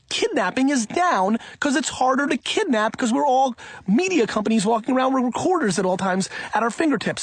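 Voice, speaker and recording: A man reading an audiobook in the first person, in an emphatic, punchy, conversational way with a husky voice. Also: high voice, no echo. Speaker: audiobook reader.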